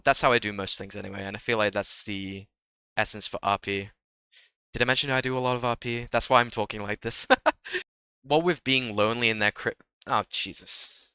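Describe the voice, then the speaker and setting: A young man with a bright, crisp voice talks in a relaxed, chatty way, a little nasal from a cold. He is self-deprecating and laughs at his own jokes. The sound is dry and close, with no room around it. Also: medium pitch, moderate pace, no echo. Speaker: Minecraft roleplay creator. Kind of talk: casual solo vlog talk.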